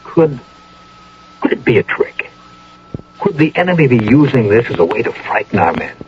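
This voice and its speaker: A man's voice: mid-range voice, very animated, moderate pace, close to an everyday voice. An actor playing an earnest, intelligent major in a radio drama, deferential to his general, formal and a little hesitant. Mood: concerned, questioning. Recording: dull, noisy old radio recording.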